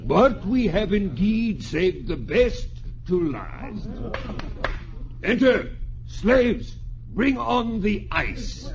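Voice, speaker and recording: An actor playing the Roman emperor Nero hosting a banquet, in 1940s radio acting with a stately, commanding manner, on an old transcription recording. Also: male voice, high voice, big pitch swings, extremely slow, a crowd in the background, exaggerated voice. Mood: grand. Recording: dull transcription disc recording.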